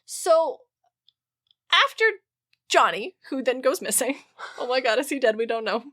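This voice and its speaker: A woman podcast host telling stories in a casual, comic chat, animated and emphatic, with plenty of swearing. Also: sits high, unhurried.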